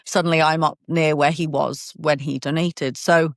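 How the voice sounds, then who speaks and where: A woman in her thirties from England with a southern English accent, talking in an animated, open way as an interview guest, often laughing and restarting. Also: low voice, normal speed, creaky, no echo, clean studio sound. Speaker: podcast guest. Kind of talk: conversational interview.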